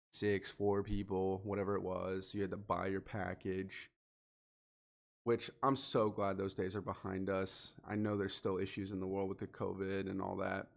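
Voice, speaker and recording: Low-voiced sniffly man giving motivational talk. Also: vocal fry. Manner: earnest, direct, speaks to the listener. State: sniffly, congested from allergies. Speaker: podcast host. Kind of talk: solo motivational podcast monologue.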